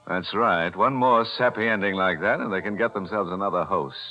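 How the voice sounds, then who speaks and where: An actor plays a ghoulishly sardonic American horror host on 1940s radio. His voice is deep and wry, on a muffled vintage recording with music behind. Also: brisk, natural voice. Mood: mock indignation. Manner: sardonic, deadpan ghoulish humor. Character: sardonic, macabre, chuckling mystery-show host.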